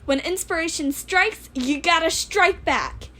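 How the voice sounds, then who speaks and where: A woman voicing a bold, excitable, pushy teenage girl inventor with a brash, emphatic manner in a story reading. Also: extremely high pitch, a little hiss or hum, pitched above the performer's own voice, heavily acted. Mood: brash, excited. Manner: rapid-fire, brash, emphatic.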